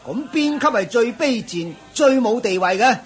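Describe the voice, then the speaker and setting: A man's voice: very high-pitched, animated, moderate pace, soft background music. A man voicing a middle-aged auctioneer who chuckles and lectures in a knowing, chatty way in a radio play. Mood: amused.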